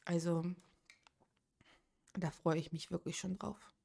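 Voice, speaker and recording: A woman's voice: fairly low pitch, fairly even intonation, slow, gravelly, warm tone, breathy edge. A woman in her late thirties chatting casually on a live game stream, thinking aloud and answering viewers' chat. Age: 30s.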